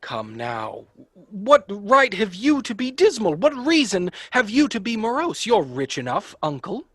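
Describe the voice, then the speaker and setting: An actor playing a cheerful, warm-hearted young gentleman in a radio play, speaking earnestly. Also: high-pitched, wildly swooping pitch, unhurried, husky, no echo, full character voice. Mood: teasing, coaxing. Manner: earnest, impassioned, declamatory. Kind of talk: theatrical radio play acting.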